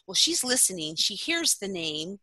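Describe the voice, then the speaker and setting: A middle-aged woman, a former phone sex operator, telling stories in a casual podcast interview, chatty and quick to laugh. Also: medium pitch, very animated, sharp tone, no echo. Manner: chatty storyteller who laughs often.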